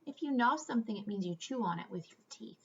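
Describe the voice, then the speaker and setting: A woman's voice: a little high, lively intonation, fast talker, a bit bright, husky, lo-fi sound. A woman reading a picture book aloud as a school storyteller, expressively and with dramatic emphasis. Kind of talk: expressive children's read-aloud.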